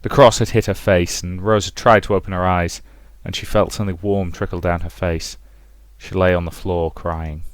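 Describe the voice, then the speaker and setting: A man's voice: low-pitched, fairly even intonation, moderate pace, few pauses, dry recording. A man hosting a let's play, narrating a visual novel as a dramatic story. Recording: music behind the voice.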